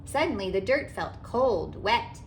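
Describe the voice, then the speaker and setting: A woman hosting a read-aloud as a children's author, narrating a picture book in a deliberate, animated way for young children. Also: mid-range voice, slight background noise. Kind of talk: expressive children's storybook narration.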